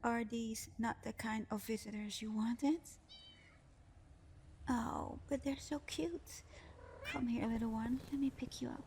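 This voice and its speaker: A mischievous, ancient female genie acted by a woman speaks in a soft, mellow voice at an unhurried pace. She is flirty, sly and teasing, playing directly to the listener in an ASMR roleplay. Noticeable background noise sits under the voice. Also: on the high side. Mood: gleeful, mischievous. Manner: teasing, seductive, slow and playful, often dropping to whispers.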